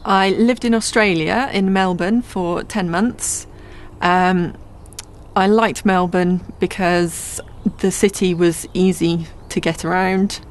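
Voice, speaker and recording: A woman's voice: on the low side, steady tone, slow, a little hiss or hum. A woman giving a simple personal account of her experiences as an interviewee.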